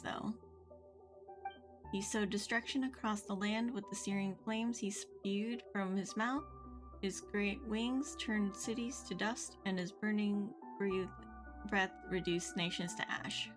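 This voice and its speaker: A woman is streaming a video game and reading its dialogue aloud. Her delivery is expressive yet casual, slipping into offhand remarks, and her voice sits at an average pitch. Game music plays clearly behind her.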